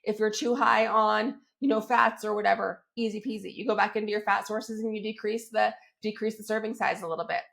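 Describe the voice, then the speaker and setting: A woman hosting a fitness podcast while she has a cold, her voice crackly and a little squeaky, talking in an upbeat, chatty way and often checking in with her listeners. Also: steady tone, fairly quick, gravelly, few pauses, a bit bright. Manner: upbeat and chatty, keeps checking in with "right?" and "okay?". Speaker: fitness coach and podcast host. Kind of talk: solo podcast, coaching talk.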